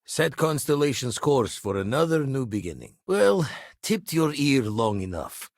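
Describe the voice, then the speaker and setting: A man playing a gruff, seasoned space explorer and ex-pirate in a video game, with a husky, rough-edged voice and wry, colorful, slangy phrasing. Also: mid-range voice, very animated, a little slow, dry recording, studio quality. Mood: steady, measured. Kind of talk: scripted game character dialogue.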